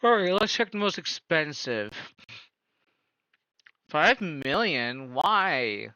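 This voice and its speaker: A man's voice: on the high side, sing-song, slow pace, sharp tone, clean tone, dry recording, low-quality recording. A man live-streaming a casual reaction, incredulous and firing off repeated questions.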